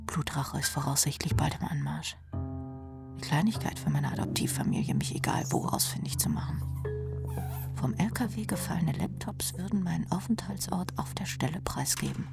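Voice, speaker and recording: Creaky, half-whispering deadpan rock chick. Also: female voice, sits low, moderate pace, music in the background. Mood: dry, resigned. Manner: deadpan, sardonic. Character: wry, cool female rock drummer narrating her troubles. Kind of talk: intimate inner-monologue narration in radio drama.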